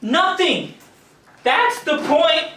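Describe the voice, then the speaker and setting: A man's voice: very high voice, sing-song, very slow, pushed and bright, exaggerated voice. A man acting a bitter, jealous employee on stage, ranting with sarcastic, theatrical delivery. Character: bitter, jealous male employee snapping at his colleagues. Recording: echoey theatre recorded from far off, noise.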